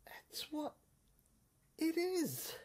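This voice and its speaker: A woman's voice: high-pitched, wildly swooping pitch, extremely slow, warm tone. A woman giving a dramatic reading of an experimental audio screenplay in short, broken, theatrical phrases.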